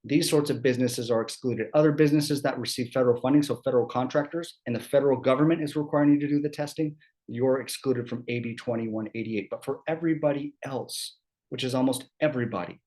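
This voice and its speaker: A man's voice: mid-range voice, darkish tone. A man with a scratchy throat from a cold, giving an HR law seminar in a chatty way, often checking in with "right?". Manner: conversational, quick asides, keeps asking "right?". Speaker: employment law presenter. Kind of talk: HR seminar presentation.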